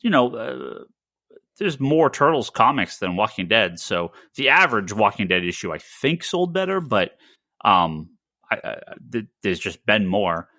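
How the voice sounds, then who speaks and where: A man co-hosting a casual panel discussion, talking haltingly and often stammering over repeated words. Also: lowish voice, very animated, sharp tone, no echo, clean studio sound. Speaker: podcast co-host.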